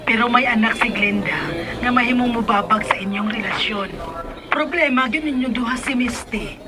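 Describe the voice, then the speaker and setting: A woman playing a caring, cautious mother gently advising her grown son in a radio drama. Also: on the high side, moderate pace, lightly acted. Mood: concerned. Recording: noisy background ambience.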